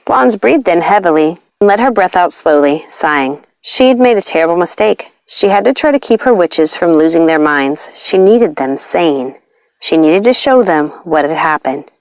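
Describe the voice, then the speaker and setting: A woman reading a story aloud as scripted narration, in an expressive storytelling style. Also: fairly low pitch, normal speed, dry recording. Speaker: storybook reader.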